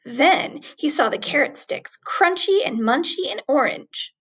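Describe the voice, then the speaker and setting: A woman reading a children's story aloud expressively, with deliberate, dramatic emphasis on words. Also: high-pitched, lively intonation, fairly bright tone.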